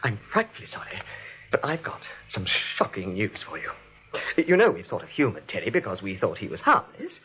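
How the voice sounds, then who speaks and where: An actor playing a flustered, frantic nephew who sputters and repeats himself in disbelief, in 1940s screwball comedy style. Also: male voice, fairly low pitch, wildly swooping pitch, moderate pace, sharp tone, exaggerated voice. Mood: urgent, grave. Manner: sputtering, repeats words, incredulous. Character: frantic, flustered nephew, a theatre-going writer. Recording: muffled, dull vintage 1940s soundtrack.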